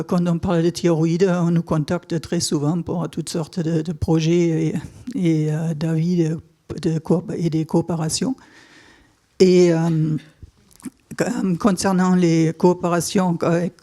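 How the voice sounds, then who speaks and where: A middle-aged German-born woman with a Toulouse accent and German as first language, giving a halting personal account at a panel discussion. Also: lowish voice, warm tone. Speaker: patient association president. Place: on stage at a conference. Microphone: stage microphone.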